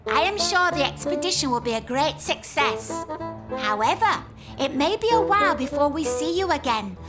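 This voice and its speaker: An actress playing an eager, practical young village woman in a comedy audio drama, brisk and inquisitive. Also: high voice, lively intonation, over loud music. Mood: reading aloud earnestly.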